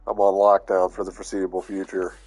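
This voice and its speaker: A man's voice: sits low, completely flat delivery, fairly quick, a little mellow, faint music behind. Stuffy-voiced man, laid-back game commentary. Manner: laid-back, thinking aloud with fillers. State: stuffy, under the weather. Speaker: gaming YouTuber. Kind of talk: casual gameplay commentary.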